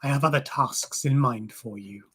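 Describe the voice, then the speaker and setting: A woman voicing an ancient, wry and commanding female crone creature in a storybook reading, with a raspy voice and a slow, low, knowing manner. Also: crowd noise. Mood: sly.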